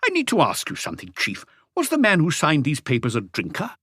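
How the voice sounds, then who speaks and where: A man voicing an eager, precise, excitable male handwriting expert explaining things in an audiobook. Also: fairly high pitch, fairly quick, breathy edge, dry recording, studio quality, voice pushed up, exaggerated voice.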